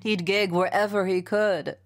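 A woman's voice: medium pitch, fairly even intonation, normal speed, natural voice. A woman playing a fond, salty old queen of country music, reminiscing in a folksy, sassy drawl. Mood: nostalgic, amused. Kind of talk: documentary interview acting.

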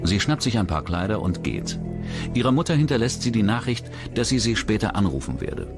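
Low-voiced male true-crime narrator. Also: big pitch swings, a little fast, music in the background. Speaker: documentary narrator.

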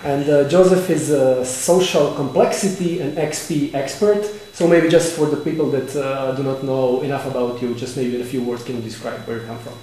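A man's voice: mid-range voice, fairly even intonation, brisk, few pauses, dark tone, slight background noise. A man interviewing a guest at a conference, asking hesitant questions with fillers. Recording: echoey room with faint ambience.

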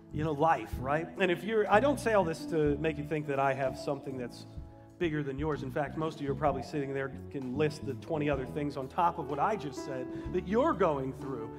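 Higher-voiced male preacher, informal and wry. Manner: informal, wry joking asides. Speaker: pastor, guest preacher. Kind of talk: sermon to a live congregation. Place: church auditorium stage, indoors.

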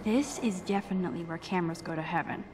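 A woman's voice: on the low side, quick pace, background noise, lightly acted. A young woman with an American accent voicing a shy, wry, geeky teenage photography student in a video game. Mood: quiet admiration. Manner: wry, slangy, self-doubting. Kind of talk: introspective game voice acting.

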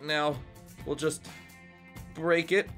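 A man playing an excitable, hesitant superhero leader who gives orders in an improvised video game roleplay. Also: a little high, takes it slowly. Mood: bossy, flustered. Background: music.

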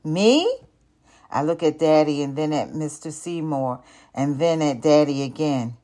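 An older woman, a retired teacher, reading a children's picture book aloud in an expressive, deliberate storytelling manner. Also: low voice.

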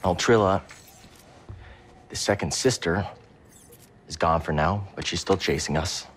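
A man's voice: low voice, unhurried, a little hiss or hum. A young man playing an earnest, determined hero in a video game. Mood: sober. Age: young adult. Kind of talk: naturalistic game acting.

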